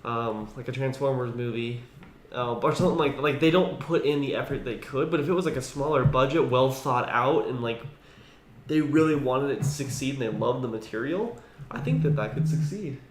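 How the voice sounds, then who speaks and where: A man with a mid-pitched voice chats casually as a gaming podcast co-host. The room is noticeably echoey. A faint layer of ambient noise sits behind him. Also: moderate pace.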